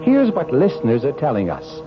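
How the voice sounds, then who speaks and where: Earnest radio producer announcement. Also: male voice, medium pitch, wildly swooping pitch, muffled recording. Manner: earnest and measured. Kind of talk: on-air promotional announcement. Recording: vintage radio broadcast with music.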